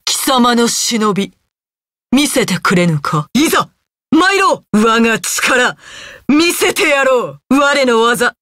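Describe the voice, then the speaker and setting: A woman's voice: a little high, fairly bright tone, no room sound, studio quality. A woman voicing a proud, stern, battle-hungry female ninja warrior in an anime game, with haughty, archaic, commanding speech. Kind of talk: Japanese anime video game voice acting.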